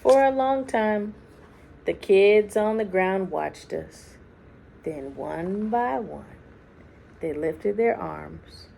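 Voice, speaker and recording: A woman reading a children's story aloud expressively, with deliberate dramatic phrasing and hushed, drawn-out words. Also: medium pitch, expressive, vocal fry. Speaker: children's book reader. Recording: faint background noise.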